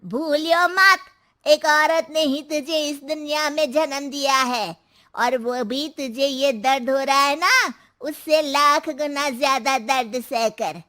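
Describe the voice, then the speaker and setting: A fearless old mother-in-law from a cartoon story scolds and boasts with total confidence. Her voice is bright and high, and she takes her time with every jab. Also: slow pace, heavily acted. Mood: scolding. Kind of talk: cartoon voice acting.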